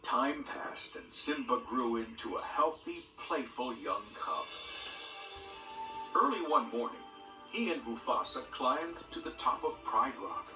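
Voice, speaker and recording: A man narrating a read-along storybook in a lively, expressive way. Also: mid-range voice, measured pace. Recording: roomy distant audio with loud music. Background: loud orchestral music.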